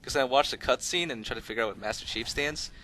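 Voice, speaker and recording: A man's voice: mid-range voice, quick pace, sharp tone, slight background noise, rough recording. A man giving casual, unscripted gameplay commentary as a video host, talking in an easygoing, rambling, conversational way. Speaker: host of a gaming video channel.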